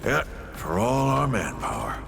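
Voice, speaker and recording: An actor playing a weary, reflective veteran founder and explorer in a video game, telling his story with deliberate drama. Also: mid-range voice, huge pitch swings, creaky, exaggerated voice. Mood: solemn, wistful.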